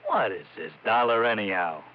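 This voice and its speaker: An actor playing a defensive, shifty ex-convict mobster in a 1950s radio crime drama, protesting in stammering street slang. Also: male voice, fairly low pitch, animated, moderate pace, close to an everyday voice. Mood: agitated, defensive. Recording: muffled old radio recording, crowd hum, noise.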